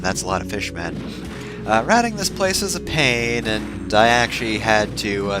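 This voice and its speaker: A man with a very lively, swooping delivery talks over clearly audible music. He hosts a gameplay video in a casual, rambling way, drifting off on tangents and tossing in sarcastic asides. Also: on the low side. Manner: wry, sarcastic asides, often digressing. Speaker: video game let's-play host.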